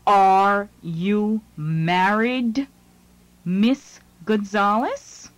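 Very slow, deliberate woman reading a lesson. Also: mid-range voice, no room sound. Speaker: language lesson narrator.

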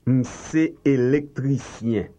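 A man reading slow, carefully enunciated translations in a language drill. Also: medium pitch, warm tone. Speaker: language teacher.

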